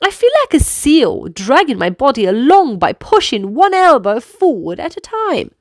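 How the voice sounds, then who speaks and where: A woman reading a children's storybook aloud in an animated, deliberate way, speaking directly to young listeners and guiding them to move along. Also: high voice, no echo, clean studio sound. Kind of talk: expressive read-aloud narration with movement prompts for young children.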